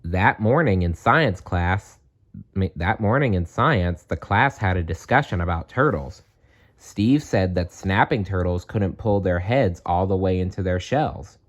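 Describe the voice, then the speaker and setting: A man's voice: deep voice, lively intonation, measured pace. A man reading a children's story aloud as a storyteller.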